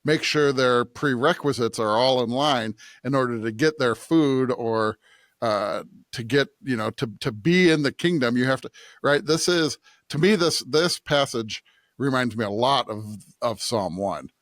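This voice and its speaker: A man's voice: mid-range voice, unhurried, a bit bright, dry recording. A man with a mid-range voice co-hosts a podcast. He reflects on scripture conversationally, as if thinking it through with a colleague. The recording is close, with no room sound. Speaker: podcast co-host.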